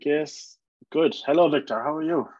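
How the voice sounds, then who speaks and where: A man with an Irish accent giving an online talk as a guest photographer, relaxed with frequent ums. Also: on the high side, moderate pace, no echo. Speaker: guest speaker, landscape photographer. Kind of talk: online lecture. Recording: dry, slightly dull sound.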